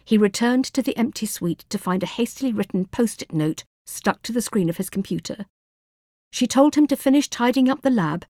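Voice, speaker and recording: A woman reads a novel aloud in a medium-pitched voice. Her delivery is scripted, measured and expressive, like a storyteller's. The recording is dry, with hardly any room sound. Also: no echo. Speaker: audiobook narrator. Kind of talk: scripted fiction narration.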